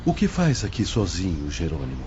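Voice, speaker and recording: A kind, patient spirit counselor speaks gently and reassuringly. His voice is mellow and mid-pitched. The audio is dull, with faint noise behind. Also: wildly swooping pitch, a little fast. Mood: gentle counsel. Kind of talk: audio drama acting.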